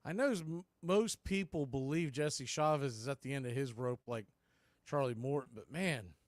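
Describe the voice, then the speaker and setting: A man's voice: mid-range voice, normal speed, no room sound. A man hosting live baseball play-by-play, giving punchy calls and folksy, opinionated commentary. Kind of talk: live radio sports commentary.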